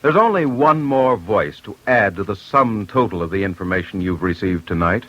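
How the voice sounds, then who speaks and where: A man's voice: on the low side, animated, moderate pace, sharp tone. A man hosting an old-time radio crime program, reading a script in a grave, urgent manner with direct appeals to listeners. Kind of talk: mid-century radio scripted narration. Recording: muffled old radio broadcast with music. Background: music.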